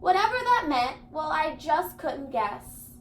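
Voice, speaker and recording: High-voiced woman reading a storybook aloud. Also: measured pace. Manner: animated, dramatic, emphatic. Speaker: elementary school educator reading aloud. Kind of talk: expressive children's storybook read-aloud. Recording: echoey room, clear background noise.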